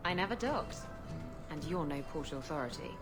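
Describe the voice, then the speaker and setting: An actress playing a flirtatious, sassy pirate captain in a video game, with a teasing, bantering manner. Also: female voice, low-pitched, sing-song, moderate pace. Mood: mocking. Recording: game music behind the voice.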